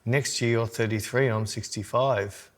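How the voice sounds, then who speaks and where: A man's voice: mid-range voice, steady tone, moderate pace. A man in his sixties co-hosting a podcast, chatting casually with dry, teasing banter. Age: 60s. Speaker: podcast co-host. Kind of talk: casual chat.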